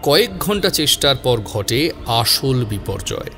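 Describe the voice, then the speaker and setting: Guy telling a scary story, dramatic n measured, drags out key words, music under him. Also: medium pitch, sing-song, pushed and bright. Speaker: horror story narrator. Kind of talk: dramatic scripted narration.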